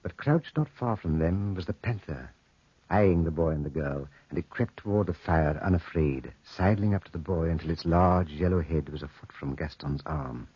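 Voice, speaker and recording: A man narrating a 1940s radio drama in a measured, dramatic storytelling style. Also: rumbling low, slightly rough, no echo. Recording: muffled, dry 1940s radio recording.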